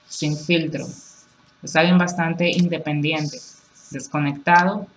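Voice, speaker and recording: A woman's voice: low voice, low-quality recording. A woman giving a casual, chatty tarot reading over cards on a table, reassuring and full of filler phrases. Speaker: tarot reader. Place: indoors at a table with cards on a cloth.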